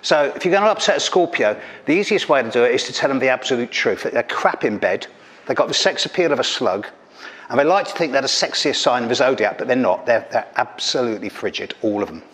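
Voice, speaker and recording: A man's voice: mid-range voice, expressive, fast talker, a bit bright. A middle-aged man from England performing a caustic, teasing stand-up routine on a stage, speaking into a handheld mic. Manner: caustic, teasing, punchy delivery. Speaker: stand-up astrologer. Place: stage of an event hall.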